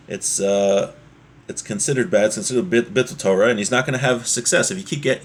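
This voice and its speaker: A man's voice: mid-range voice, a bit bright. A man teaching an informal religious lesson, reading from a text and explaining it with frequent check-in questions.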